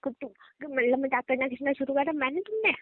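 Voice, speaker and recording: Teasing young woman, higher-pitched, over the phone. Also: animated, fairly quick. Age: young adult. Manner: teasing, playful. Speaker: girlfriend on a phone call. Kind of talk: casual relationship banter on a phone call. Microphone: phone line. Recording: tinny narrowband phone call.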